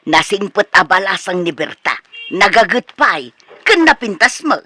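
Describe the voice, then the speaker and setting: A woman acting very bright, animated Filipino mother. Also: medium pitch, unhurried, dry recording, exaggerated voice. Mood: doting, teasing. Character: nosy, doting older mother of a grown son. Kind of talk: comedic radio drama acting.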